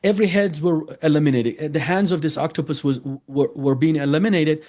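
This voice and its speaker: A man's voice: fairly high pitch, fairly quick, a little mellow. A man from Iran with an Iranian accent, a native Farsi speaker, answering interview questions earnestly with many fillers and restarts. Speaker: interviewee, ministry leader.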